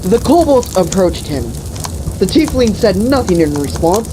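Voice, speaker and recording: A woman telling an improvised comic fantasy story among friends in a deliberate, profane, mock-epic manner. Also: mid-range voice, big pitch swings, background noise. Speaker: storyteller and host.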